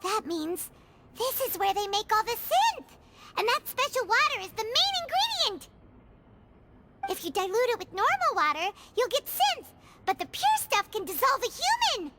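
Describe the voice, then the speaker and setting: A woman voicing a tiny, excitable, easily scared fairy companion in a video game, with a squeaky, childlike voice and exclamatory delivery. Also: moderate pace, heavy background noise, full character voice. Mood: excited. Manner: refers to self in third person, exclamatory. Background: game music.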